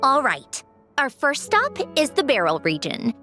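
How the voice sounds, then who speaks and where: Aloof, high-voiced young woman. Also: wildly swooping pitch, unhurried, music in the background, heavily acted. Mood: businesslike. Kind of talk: video game voice acting.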